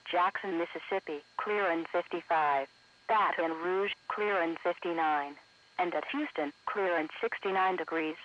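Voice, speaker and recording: A man's voice: high-pitched, measured pace. A man reading scripted weather bulletins in a formal, segmented, automated way as a radio announcer. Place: radio broadcast captured for an online stream. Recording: muffled lo-fi weather radio broadcast.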